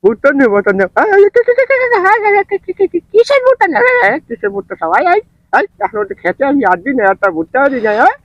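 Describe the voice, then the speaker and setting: An old farmer from the south, panicky and easily enraged, in a comic dub. The voice is high and races along, tripping over repeated words. It sounds close and dry, like a prank call. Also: male voice, wildly swooping pitch, racing, fairly bright tone, dry recording, lo-fi sound, heavily acted. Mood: frantic outrage. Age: older. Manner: spluttering, repeats words frantically. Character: excitable old village farmer, panicky and furious.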